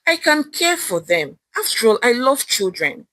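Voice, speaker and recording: A woman storyteller voicing an excitable, hopeful young woman in a Nigerian accent, exclaiming and talking things over to herself. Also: on the high side, a little slow, dry recording, voice pushed up. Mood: excited.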